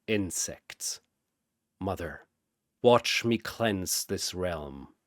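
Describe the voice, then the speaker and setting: A man performs a cold swordsman villain with godlike arrogance. His voice is low and hushed, slow and full of contempt. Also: animated, dry recording, clean studio sound, full character voice.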